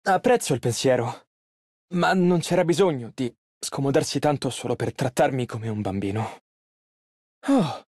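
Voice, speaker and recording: Lively, earnest young tailor. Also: male voice, fairly high pitch, husky, no echo, clean studio sound. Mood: earnest, focused. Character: young male tailor, earnest, polite, easily flustered. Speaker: voice actor. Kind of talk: video game character voice lines, Italian dub.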